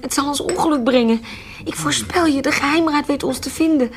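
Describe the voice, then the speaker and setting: An actress playing an anxious, scheming former baroness with second sight in a historical radio drama, grave and urgent. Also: female voice, high voice, a little fast, noisy background. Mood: grave, pleading.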